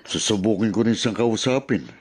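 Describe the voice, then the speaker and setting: A man's voice: lowish voice, normal speed, slight background noise, lightly acted. An actor playing a sympathetic, helpful male confidant in a radio drama, speaking in a hesitant, conversational way. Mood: concerned.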